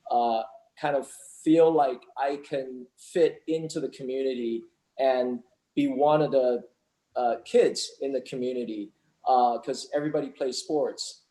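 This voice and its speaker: A man from Taiwan speaks at a middle pitch, answering interview questions steadily. He pauses often and restarts his sentences. His voice carries a noticeable room echo. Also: fairly even intonation, dark tone. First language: not English. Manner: measured, many fillers and restarts. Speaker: sports team owner, guest.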